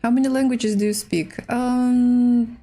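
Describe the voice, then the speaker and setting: A woman with a Russian accent, a native Russian speaker, hosting a casual chess livestream in halting, hesitant English. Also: a little high, fairly even intonation, mellow, soft background music. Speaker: livestream host and chess player. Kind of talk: casual livestream chat while playing chess.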